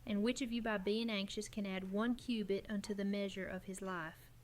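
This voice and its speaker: A woman's voice: mid-range voice, fairly even intonation, moderate pace. A woman acting an earnest community leader in a fan audio drama.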